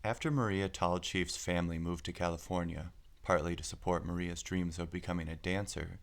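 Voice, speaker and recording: A man narrating a picture book aloud, phrasing it clearly with deliberate pauses. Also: sits low. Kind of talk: scripted storybook narration.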